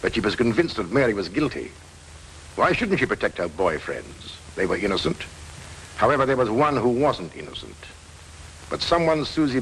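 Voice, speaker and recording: A man playing a persistent, wry investigator who narrates in measured, dry 1940s film style. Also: on the low side, gravelly, fairly bright tone, slight background noise, heavily acted, rough recording. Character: dogged middle-aged male investigator, wry and persistent. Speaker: detective narrator.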